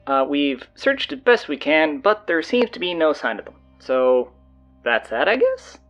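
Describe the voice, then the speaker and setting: A man playing a flustered, sardonic stand-in high school announcer, rambling hesitantly and casually through audio drama announcements. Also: big pitch swings, unhurried, fairly bright tone, soft background music, full character voice. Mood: awkward, deadpan.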